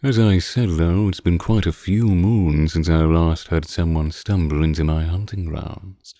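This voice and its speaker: An extremely low-voiced, ancient vampire count played by a man speaks in an unhurried, courtly way. His tone is dark and velvety, teasing and condescending as he toys with his prey. The roleplay audio is close and dry. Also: dry recording. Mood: quietly menacing. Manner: formal, archaic, teasing and condescending. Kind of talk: slow intimate roleplay audio monologue.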